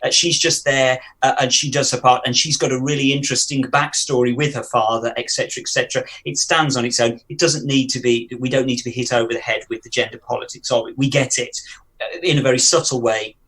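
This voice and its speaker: Brief: male co-host, mid-pitch, reflective, talks things through with questions. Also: fairly even intonation, quick pace, fairly bright tone, husky, poor audio. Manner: thoughtful, musing aloud with questions. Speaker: podcast co-host. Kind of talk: casual review discussion.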